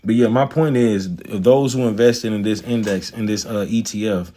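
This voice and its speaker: Man, lowish voice, cocky, profane, slangy stock talk to a live chat. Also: moderate pace, warm tone. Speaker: stock market livestreamer. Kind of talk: casual livestream chat about stocks.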